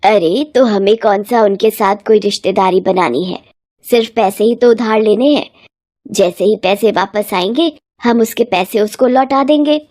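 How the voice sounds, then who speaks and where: A woman voicing a practical, reassuring farmer's wife in an animated moral story, persuasive and matter-of-fact. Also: medium pitch, moderate pace, dry recording, clean studio sound, close to an everyday voice.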